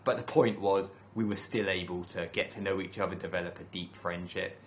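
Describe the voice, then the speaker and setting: A man from the UK, a teacher, giving a calm, thoughtful talk to camera in a measured way with many pauses. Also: deep voice, slight background noise. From United Kingdom. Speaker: teacher and channel host. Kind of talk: reflective solo talk to camera.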